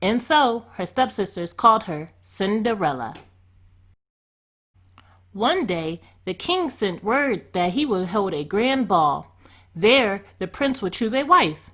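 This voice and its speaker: A woman reads a fairy tale aloud as a storyteller. Her mid-pitched voice moves with lively, expressive swings that shape each sentence. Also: takes it slowly.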